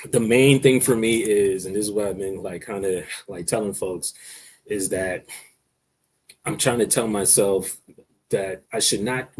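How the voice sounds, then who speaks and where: A man with a somewhat low voice talks through his experience in relaxed conversation. He stutters, restarting and repeating words often. A faint hiss sits under his voice. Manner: person who stutters, with hesitations and repeated words. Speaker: guest speaker on stuttering. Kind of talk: casual conversational discussion.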